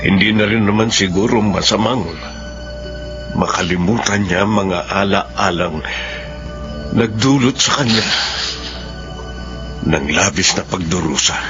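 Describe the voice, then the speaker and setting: A Filipino man acting in a radio drama as a grave, compassionate uncle with a measured, sorrowful manner. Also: lowish voice, muffled recording, close to an everyday voice. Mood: sorrowful, reflective. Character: solemn, compassionate older uncle, a family confidant. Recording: band-limited radio drama audio under music.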